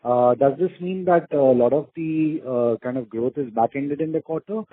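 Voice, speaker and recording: A man asking questions as an analyst on an earnings call, pausing often mid-question. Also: mid-range voice, dry recording. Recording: narrow muffled phone line.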